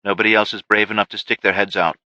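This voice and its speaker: A cool-headed female sniper reports quietly and matter-of-factly, as a male narrator voices her. The sound is dry and slightly dull. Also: lowish voice, flat delivery, fast, no room sound.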